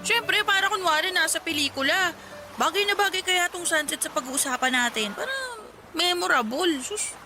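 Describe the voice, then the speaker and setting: An actress playing a playful, scheming, upbeat young friend in a radio drama, with a teasing, breezy manner. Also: female voice, very high-pitched, normal speed, music in the background.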